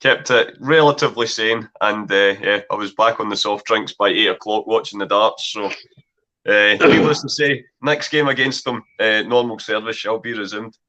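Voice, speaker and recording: A slightly hoarse Scottish man co-hosting a podcast, easygoing and using many fillers. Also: fairly low pitch, normal speed, sharp tone, lo-fi sound. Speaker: podcast co-host. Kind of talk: casual podcast chat.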